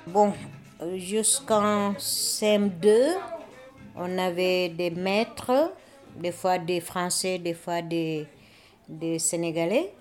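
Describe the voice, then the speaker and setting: An older woman from Senegal, slowly recalling her life in an interview. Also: fairly low pitch, soft background music. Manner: slow, reflective storytelling.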